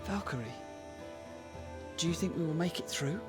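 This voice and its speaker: An actor playing an earnest, worried young warrior companion in a video game cutscene. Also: male voice, a little high, normal speed, music in the background, close to an everyday voice.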